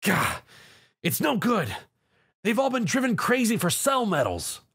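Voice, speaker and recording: A man's voice: sits high, wildly swooping pitch, a little slow, creaky, fairly bright tone, husky, pitched above the performer's own voice, heavily acted. A man voicing an earnest armored hero, urgent and quippy, in a story. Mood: alarmed.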